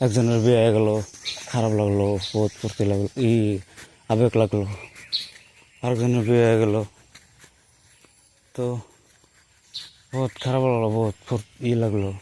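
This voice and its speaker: A young man with a mid-pitched voice talks in a rural Bengali dialect of Assam. He rambles through a casual personal monologue, often repeating his phrases. There is faint outdoor noise with birds behind him. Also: warm tone. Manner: rambling, repeats and rephrases himself. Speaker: storyteller recounting his own life.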